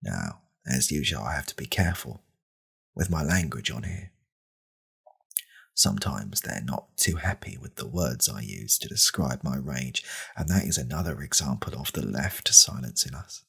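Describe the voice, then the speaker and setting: Man playing very deep, gravelly, pompous podcast host. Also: exaggerated voice. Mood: brooding anger. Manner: pompous, deliberate, deadpan ranting. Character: angry right-wing male podcast host, pompous, conspiratorial. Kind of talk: deadpan parody podcast monologue.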